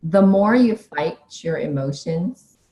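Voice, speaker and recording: Low-voiced woman podcast host. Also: animated, slow, warm tone. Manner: supportive, frequent affirmations. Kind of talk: casual interview conversation.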